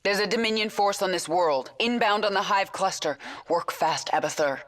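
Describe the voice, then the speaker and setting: A woman's voice: medium pitch, normal speed, natural voice. An actress playing a cold, decisive female queen of an alien swarm who gives commands. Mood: commanding. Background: loud game music.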